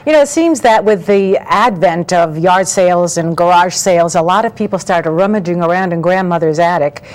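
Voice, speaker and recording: A woman's voice: fairly low pitch, moderate pace, a little mellow. A woman presenting and interviewing as a talk show host, with polished, articulate delivery. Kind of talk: scripted introductions and interview questions.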